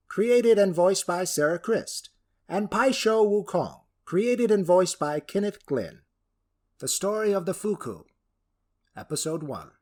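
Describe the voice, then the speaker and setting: A man narrating a scripted fantasy tale in a theatrical manner with deliberate phrasing. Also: on the high side, a little mellow. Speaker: narrator and creator of the story world.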